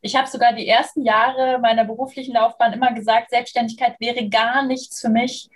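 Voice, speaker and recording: A woman's voice: fairly high pitch, flat delivery, fairly quick. A woman in her thirties from southern Germany, talking casually as an interview guest. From Munich, southern Germany. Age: 30s. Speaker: interview guest, freelance trainer and moderator.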